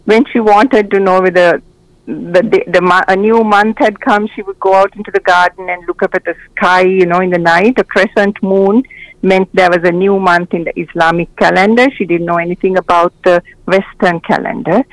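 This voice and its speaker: An older woman from Sri Lanka, a guest telling her story in a measured, explanatory way. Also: medium pitch, no echo, rough recording. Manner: measured, explanatory, with small restarts. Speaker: guest, memoir author and mental health counselor. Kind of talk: reflective interview storytelling.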